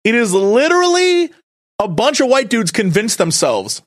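Lively, sarcastic podcast co-host. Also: mid-range voice, normal speed. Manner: sarcastic, mocks historical attitudes in put-on voices. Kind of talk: casual podcast banter.

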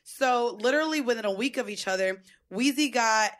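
Brash, loud-mouthed woman podcast host. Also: on the high side, brisk. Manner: brash, swears a lot, laughs often. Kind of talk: loud crude banter.

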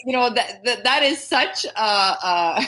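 A woman's voice: fairly high pitch, lively intonation, measured pace, bright, forceful tone. A woman in her fifties from Canada, whose first language was Serbian, chatting as a podcast guest over a video call, laughing often and restarting words. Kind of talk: casual interview chat.

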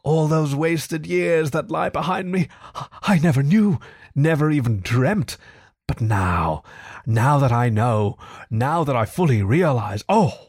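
A man's voice: mid-range voice, no room sound, clean studio sound. Storybook toad, male reader acting: obsessed and blissful, rhapsodic murmur, lingering delivery, wide melodic swings. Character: dreamy, besotted male toad in raptures of obsession. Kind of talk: storybook character reading.

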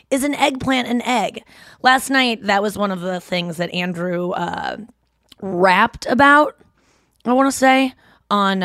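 A woman hosting her podcast in casual, rambling chat, a little hoarse, with lots of fillers and restarts. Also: medium pitch, a bit bright, no echo, clean studio sound. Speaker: podcast host.

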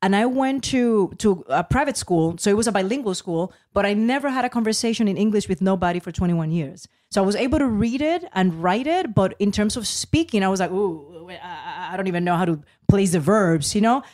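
Fast-talking Puerto Rican woman host. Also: mid-range voice. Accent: Puerto Rican Spanish. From Puerto Rico. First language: Spanish. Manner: quick, expressive, switching into Spanish. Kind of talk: casual interview chat.

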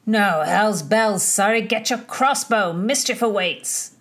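A woman voicing a brash, drunken young male soldier in a storybook reading, talking loudly and mockingly. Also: mid-range voice, takes it slowly. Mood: boisterous. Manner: loud, boisterous, mocking.

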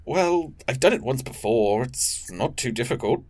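A man's voice: medium pitch, expressive, normal speed, lightly acted. An actor playing an earnest, burdened, pragmatic train crewman in a sci-fi audio drama, serious and matter-of-fact. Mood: grimly practical.

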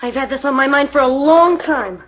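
An actress playing a tough, bitter gangster's moll in a 1940s radio drama, in an old lo-fi recording. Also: female voice, high-pitched, normal speed, lightly acted. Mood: defiant. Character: defiant young female gangster's moll.

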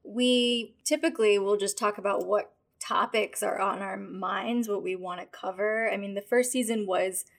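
A woman co-hosting a podcast in casual chat, measured and a bit self-conscious, with a higher voice. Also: fairly even intonation, gravelly. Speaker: podcast co-host.

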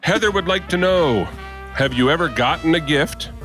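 A man's voice: a little high, animated, normal speed, fairly bright tone, faint music behind. A man co-hosting a podcast, telling a story in casual chat, laughing and acting out the quotes. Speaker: podcast co-host.

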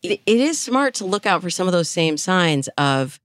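A woman's voice: lowish voice, sing-song, normal speed, no room sound, studio quality. A woman speaking as a dating coach and interview guest, explaining things conversationally and with emphasis. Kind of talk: conversational expert advice.

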